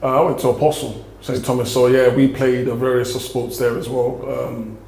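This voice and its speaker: A man's voice: mid-range voice, flat delivery, moderate pace, gravelly, a little mellow. A young man from South London with a South London accent, a guest giving laid-back, rambling answers in a casual interview. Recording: echoey room with faint noise.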